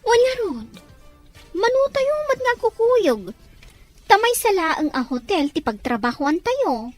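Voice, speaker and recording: A woman acting an eager young daughter in an Ilocano audio drama, expressive, with a Filipino Ilocano accent. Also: extremely high pitch, measured pace, faint music behind, heavily acted.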